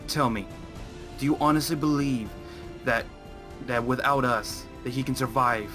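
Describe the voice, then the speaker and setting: A man's voice: mid-range voice, unhurried, music in the background, lightly acted. A man acting an insistent, defensive werewolf pack leader in an amateur game roleplay. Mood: accusing, insistent.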